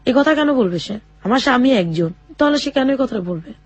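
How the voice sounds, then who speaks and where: A woman's voice: high voice, expressive, dry recording, dull audio. Young woman telling her life story, lots of fillers and restarts, dry sound. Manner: halting, with many fillers and restarts. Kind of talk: personal testimony in a radio interview.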